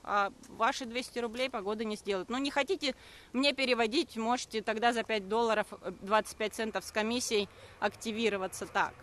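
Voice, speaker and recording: A high-pitched woman in her thirties talks briskly into a phone held at arm's length as she walks outdoors. Her voice is bright and forceful, and she answers comments defensively, with frequent "uh"s. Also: steady tone. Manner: brisk, defensive, with many hesitations. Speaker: network marketing streamer. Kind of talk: live stream answering comments. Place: walking outdoors on a forest path.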